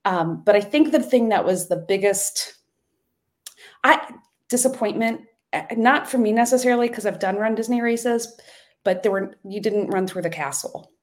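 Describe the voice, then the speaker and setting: A woman's voice: mid-range voice, moderate pace. A woman co-hosting a podcast, telling a story in casual chat with many ums and restarts. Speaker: podcast co-host.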